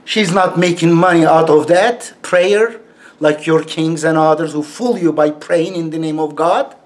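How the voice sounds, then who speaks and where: A man speaks in a heavy Turkish accent, his English broken and his tone mocking. His voice sits a little high for a man, and he talks slowly and deliberately, with scornful laughs between his points. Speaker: YouTube commentator. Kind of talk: casual monologue to camera.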